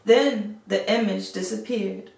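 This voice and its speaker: A woman reading a fairy tale aloud as an expressive storyteller. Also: mid-range voice, slow, gravelly. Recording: roomy echo, distant microphone.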